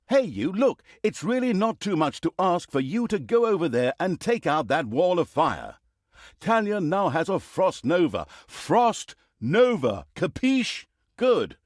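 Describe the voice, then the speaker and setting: An actor playing a pompous, mocking narrator of an evil fantasy video game, with theatrical storybook delivery and dry sarcasm. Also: male voice, on the high side, no room sound. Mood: exasperated. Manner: arch, dryly sarcastic, dramatic emphasis.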